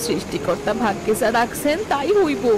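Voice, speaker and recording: A woman's voice: on the high side, expressive, fairly quick, very noisy, lightly acted. A woman voicing a protective farmer's wife and mother in a cartoon, scolding and pleading in village speech. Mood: anxious. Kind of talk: cartoon voice acting. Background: background music.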